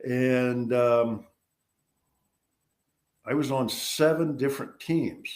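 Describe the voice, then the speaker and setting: Older guy guest, mid-pitch voice, stops and starts a lot, lots of ums. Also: darkish tone. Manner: halting, with many pauses and fillers. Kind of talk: casual chat.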